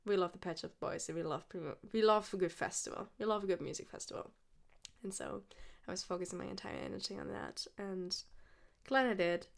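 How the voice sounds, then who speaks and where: A woman's voice: mid-range voice, fairly quick, creaky. A woman hosting a music podcast alone, rambling and enthusiastic, with lots of fillers. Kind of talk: casual solo podcast chat.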